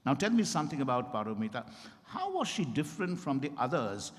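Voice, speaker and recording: An actor playing an insistent, smoothly persuasive male doctor and counsel in a formal, theatrical stage reading. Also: mid-range voice, sing-song, brisk, close to an everyday voice. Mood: probing, persuasive. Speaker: stage reader in a dramatized reading. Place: event hall at a hotel, live stage reading. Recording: echoey hall with long reverb.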